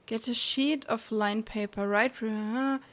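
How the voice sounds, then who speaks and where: Slow-paced, higher-voiced woman streamer reading aloud. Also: fairly even intonation, fairly bright tone. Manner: giggly, breaking into laughter while reading. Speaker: live streamer playing a video game. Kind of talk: reading game text aloud on a livestream.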